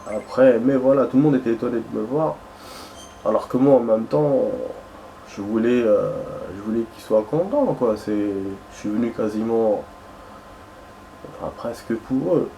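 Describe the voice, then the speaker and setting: A man's voice: medium pitch, moderate pace, creaky, warm tone, slight background noise, low-quality recording. A young man chatting casually while playing a game, rambling and hesitant, with many fillers and restarts. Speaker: gaming streamer.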